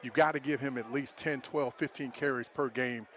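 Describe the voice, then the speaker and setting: A man's voice: medium pitch, fairly even intonation, moderate pace, fairly bright tone. An American man giving informal, conversational football analysis as a color commentator at an outdoor stadium.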